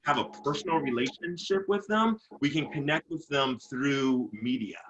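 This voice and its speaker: Earnest motivational speaker guy, bright forceful voice, repeats himself while thinking. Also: medium pitch, lively intonation, moderate pace, highs cut off, slight background noise. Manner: earnest, repeats words while gathering thoughts. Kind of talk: casual interview talk.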